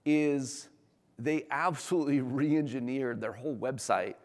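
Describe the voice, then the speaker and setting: A man presents to an audience in a large hall with long reverb trailing his words. His voice sits at an average male pitch and is slightly hoarse. He talks like an executive who is keen on the subject, conversational and emphatic. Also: measured pace. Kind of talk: informal business presentation to an audience. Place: large room, talk before an audience.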